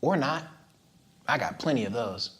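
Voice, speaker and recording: A man from the Bay Area, a content creator, in casual sit-down chat with slangy, laid-back banter and a voice that is not very deep. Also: expressive, moderate pace, fairly bright tone. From San Francisco Bay Area.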